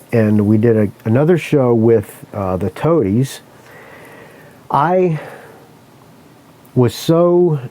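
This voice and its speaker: Podcast guy rambling thru a story, warm mellow tone, keeps correcting himself, swears a lot. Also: medium pitch. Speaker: podcast host. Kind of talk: casual solo storytelling.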